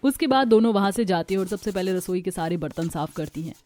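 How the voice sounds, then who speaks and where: A woman's voice: fairly low pitch, lively intonation, quick pace, warm tone. A woman reading scripted narration for a cartoon family story.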